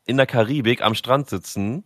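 A man's voice: mid-range voice, normal speed, fairly bright tone, no echo, clean studio sound. A man co-hosting a podcast, talking eagerly and slangily in casual chat and prompting his partner. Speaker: podcast co-host.

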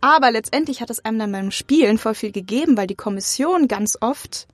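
A woman's voice: on the high side, lively intonation, brisk, no room sound. A young adult woman giving casual, informal and anecdotal answers as an interview guest. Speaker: interview guest, acting student.

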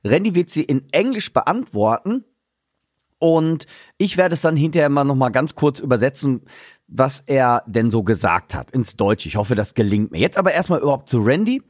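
A man's voice: mid-range voice, sing-song, moderate pace, no room sound, studio quality. A man hosting a casual podcast interview, chatty and loose, with many fillers and restarts. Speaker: podcast host and drummer.

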